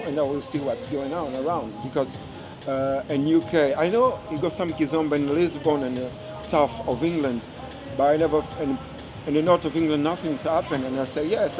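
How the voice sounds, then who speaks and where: A man from Angola, a kizomba teacher speaking haltingly in an interview, searching for his words. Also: a little high. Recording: music and crowd behind.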